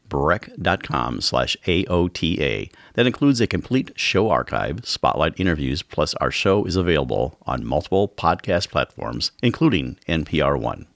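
Man, radio host, very low voice, dry studio sound, announcer reading. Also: dry recording. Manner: reads announcements, then loose with fillers. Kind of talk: radio show hosting.

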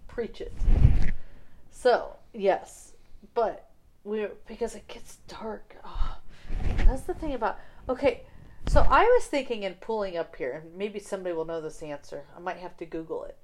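A middle-aged woman with a loud, animated voice chats casually on a podcast. She laughs easily and talks with lively emphasis. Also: mid-range voice, moderate pace, slight background noise. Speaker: podcast co-host. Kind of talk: casual chat.

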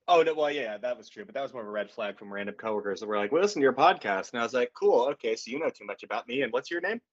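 A man's voice: mid-range voice, lively intonation, very fast. A man of thirty co-hosting a podcast, with dry, self-deprecating and crude humor. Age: 30s. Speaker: podcast co-host. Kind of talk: casual banter. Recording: dull lo-fi podcast audio.

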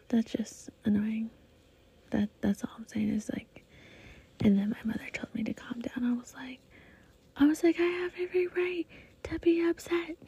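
Young woman, podcast host, rough breathy whisper, fry in the voice, rambling and swearing. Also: on the high side, warm tone. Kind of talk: casual venting monologue.

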